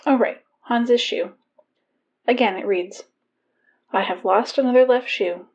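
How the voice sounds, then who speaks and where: A woman's voice: fairly high pitch, a little slow. A woman playing an exasperated school announcer in a fiction podcast, reading notices with dry, wry asides. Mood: deadpan, businesslike. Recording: dull lo-fi PA sound, music behind.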